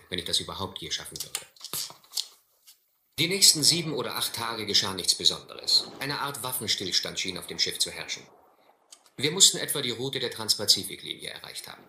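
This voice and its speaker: Earnest gentleman narrating a sea adventure, lowish very crisp voice, roomy old radio drama. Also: exaggerated voice. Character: earnest, reflective gentleman castaway narrating his ordeal. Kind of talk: dramatic scripted narration, German radio play. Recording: roomy, faintly hissy old radio play.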